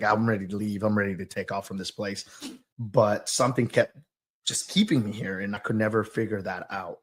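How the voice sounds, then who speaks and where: Podcast guest: man from Mexico, fairly low pitch, reflective storyteller who gropes for words, mildly nervous. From Oaxaca, southern Mexico. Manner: halting, reflective, searching for words. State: a little nervous. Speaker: guest, youth soccer coach and business owner. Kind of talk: personal storytelling in a podcast interview.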